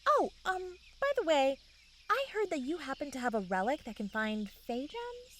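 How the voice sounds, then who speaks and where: A perky, sly young woman, played by an actress in a comedy fantasy audio drama. Her high voice bounces around as she wheedles and plays innocent, with some noise behind her. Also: big pitch swings, moderate pace, fairly bright tone. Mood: sweet-talking. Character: perky, scheming young woman, sweet-talking and evasive.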